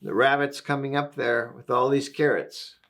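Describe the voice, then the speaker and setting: A man reading a picture book aloud to young children, gently explaining things and asking the listener questions. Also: medium pitch, flat delivery, normal speed. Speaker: volunteer story reader.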